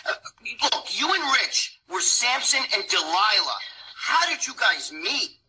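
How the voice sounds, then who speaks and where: A man's voice: sits high, very animated, a little slow, background noise. A man in his fifties with a New York accent, hosting a live interview show and talking in a rambling, effusive way. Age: 50s. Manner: rambling, effusive, restarts a lot. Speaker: host.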